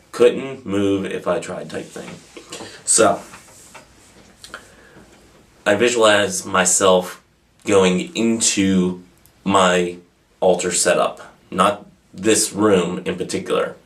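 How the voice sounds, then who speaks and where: Guy with a deep voice doing a casual q&a, rambles a bit, pokes fun at himself. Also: fairly bright tone. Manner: informal, rambling, self-deprecating. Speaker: host of a pagan question-and-answer video channel. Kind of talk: casual, unscripted answering of viewer questions.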